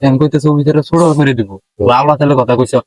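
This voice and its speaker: A man's voice: on the high side, fairly even intonation, very fast, a little mellow, no echo, low-quality recording. A man talking in a casual comic back-and-forth, often prompting and interjecting like a prankster. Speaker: prankster relaying and prompting during a phone prank.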